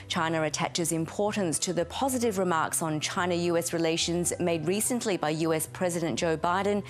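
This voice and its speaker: A woman presenter reading a scripted news report in a measured, formal way. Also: fairly low pitch.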